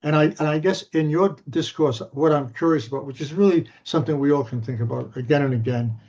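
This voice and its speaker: A man interviewing a guest, thinking aloud in searching, halting sentences with frequent restarts. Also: fairly high pitch, darkish tone, slightly rough. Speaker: interviewer, scholar. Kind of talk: academic interview discussion. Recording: dull lo-fi audio.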